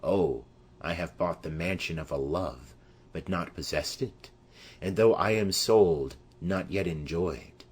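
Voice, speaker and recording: A man's voice: deep voice, measured pace, slightly rough. A man voicing an impassioned, lovesick young noblewoman in declamatory Shakespearean verse for an audiobook. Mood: impatient. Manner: declamatory, poetic.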